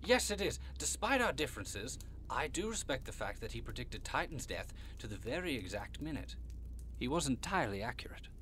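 Fussy old goat devil for an audio drama, super genteel, pitch goes all over, bit of hiss behind. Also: male voice, sing-song, fairly quick, a bit bright. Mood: proud, reminiscing. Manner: prim, courtly, long-winded, old-fashioned wording.

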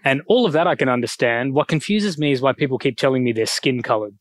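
Australian male speaker, middle-aged, headset mic, dry close sound, relaxed joking lecture delivery. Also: medium pitch, fast talker, no room sound. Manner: relaxed, self-deprecating humor. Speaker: guest speaker. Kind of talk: public lecture. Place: school hall.

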